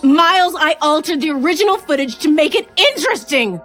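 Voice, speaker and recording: Guilt-stricken female TV editor, urgent and pleading, high-pitched and very animated, audio drama. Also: brisk, soft background music. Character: distressed, guilt-ridden female TV news video editor.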